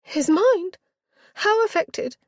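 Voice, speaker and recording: A woman voicing a petulant, self-centred young Regency heiress who talks in a high, sulky, easily wounded way. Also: expressive, slow pace, no room sound, clean studio sound, exaggerated voice. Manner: sulky, high-strung, rising to tearful.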